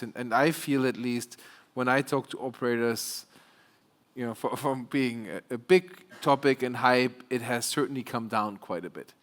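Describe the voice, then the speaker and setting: A man moderating a fireside chat on a conference stage, asking questions briskly and affirming with quick interjections. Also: mid-range voice. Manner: brisk, affirming, many quick "yeah"s and "okay"s. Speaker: panel moderator.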